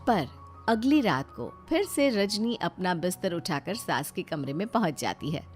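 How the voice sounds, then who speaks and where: A woman's voice: lowish voice, sing-song, unhurried, soft background music. A woman reading a scripted family story as narrator.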